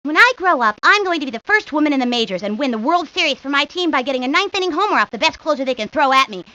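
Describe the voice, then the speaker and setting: Bright, high-voiced sporty little girl. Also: lively intonation, quick pace. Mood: proud, eager. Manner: chatty, rambling, matter-of-fact, confident. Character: talkative, sporty young girl, ambitious baseball know-it-all. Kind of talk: cartoon video game character monologue. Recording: dull lo-fi 1990s game audio.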